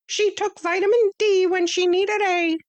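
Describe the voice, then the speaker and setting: Woman voicing a tiny pompous mouse-man teacher, very high and bright-toned, crisp and bossy, storybook read. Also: fairly even intonation, measured pace. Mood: commanding. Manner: crisp, bossy, lecturing, curt. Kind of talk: storybook reading.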